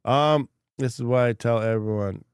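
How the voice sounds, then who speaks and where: A man's voice: medium pitch, normal speed, smooth, no echo, studio quality. A man hosting a crypto mining livestream and reading chat comments, congested while recovering from a lost voice, rambling frankly with many fillers and restarts.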